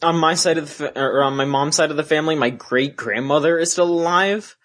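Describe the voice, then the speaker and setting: A man's voice: on the high side, fairly quick, a bit bright. College-age male co-host, casual rambling storyteller, keeps restarting sentences. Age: young adult. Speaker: podcast co-host. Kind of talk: casual chat.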